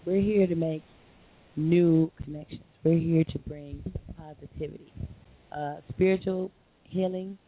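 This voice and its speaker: A hoarse woman hosting a live call-in internet radio show, chatting in a rambling way with many fillers and restarts. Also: low-pitched, fairly even intonation, measured pace. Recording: lo-fi phone line with faint hiss.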